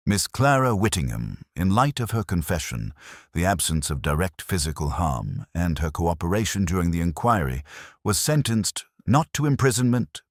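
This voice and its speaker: Male story narrator, extremely low register, solemn and literary, with swooping intonation. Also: dry recording, studio quality. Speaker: audiobook narrator. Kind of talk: scripted narration of a Victorian detective story.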